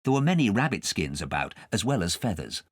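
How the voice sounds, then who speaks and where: Very animated man reading a storybook. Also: low-pitched, brisk, slightly rough, dry recording, clean studio sound. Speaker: audiobook narrator. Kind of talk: scripted storybook narration.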